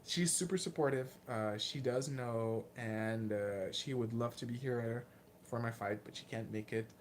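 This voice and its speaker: A man in his late twenties with a Lithuanian accent, casually answering viewer questions in a candid, self-deprecating, halting way. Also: mid-range voice, a little hiss or hum. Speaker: martial arts vlogger and fighter.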